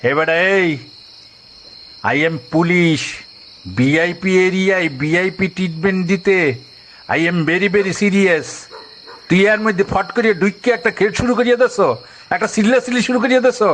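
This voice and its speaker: A man's voice: high voice, normal speed, fairly bright tone, slight background noise. A man playing a pompous, bullying policeman in an audio drama, with a Barisal accent and odd English words thrown in. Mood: officious, indignant. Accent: Barisal regional Bangladeshi. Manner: hectoring, sprinkles in English words.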